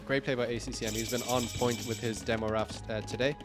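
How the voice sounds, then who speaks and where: Male game caster, mid-pitch, croaky, casual rambling play-by-play, music underneath. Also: fairly even intonation, fast talker. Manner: rambling and upbeat, frequent "uh" fillers, self-corrections. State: croaky, tired voice late in a long stream. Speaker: esports caster. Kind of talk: live play-by-play game commentary.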